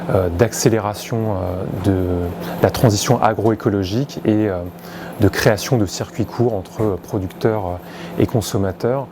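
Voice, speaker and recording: A man giving a halting explanation in an interview, with very frequent filled pauses. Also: fairly low pitch. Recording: noticeable ambient and vehicle noise.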